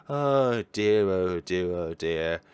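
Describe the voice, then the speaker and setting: Deep-voiced man doing game commentary. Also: darkish tone, smooth. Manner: theatrical reading with wry asides. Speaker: game streamer. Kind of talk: let's play commentary, reading game narration aloud. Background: game music.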